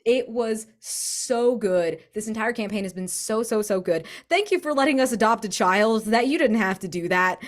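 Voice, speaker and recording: A woman's voice: a little high, animated, moderate pace, few pauses. A woman in a casual post-game chat, speaking effusively as a tabletop role-playing player. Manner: effusive, gushing praise.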